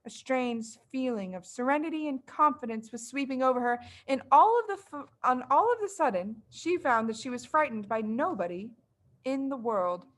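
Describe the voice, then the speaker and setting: A woman reading a children's storybook aloud as dramatic narration, with deliberate, suspenseful phrasing. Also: sits high, expressive. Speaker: audiobook reader.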